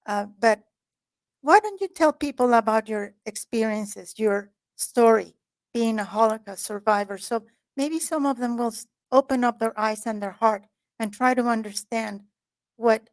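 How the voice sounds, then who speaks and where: A woman hosting a talk show, speaking in a slightly higher register. She introduces and questions her guest in an effusive, heartfelt manner. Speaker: host.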